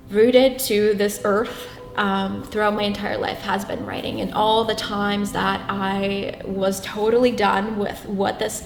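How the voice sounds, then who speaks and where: A young woman from Brazil, whose first language is Portuguese, talks through her path in an interview, earnest and halting with many restarts. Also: mid-range voice, echoey room, long reverb tail. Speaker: guest. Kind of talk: reflective interview talk.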